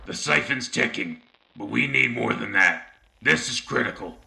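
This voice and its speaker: Man acting as a gravelly, urgent war correspondent. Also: expressive, normal speed, pushed and bright, exaggerated voice. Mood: urgent, resolute. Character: gruff urgent military war correspondent called Bulldog. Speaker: field reporter. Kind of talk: scripted wartime radio broadcast. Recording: roomy, noisy battlefield ambience with vehicle rumble.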